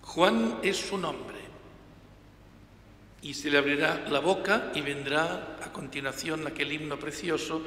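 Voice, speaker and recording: Older priest preaching in an echoing church. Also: male voice, a little high. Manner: emphatic, gestures with hands, varies loudness for emphasis. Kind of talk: reading the Gospel and preaching a homily. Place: large church, at a lectern. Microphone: gooseneck lectern mic. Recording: reverberant church hall, faint room noise.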